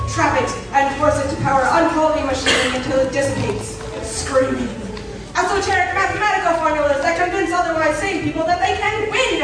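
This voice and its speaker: A woman's voice: high-pitched, close to an everyday voice. A woman playing a grand, mock-solemn pulp serial narrator, declaiming scripted comedy. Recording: echoey hall, far from mic.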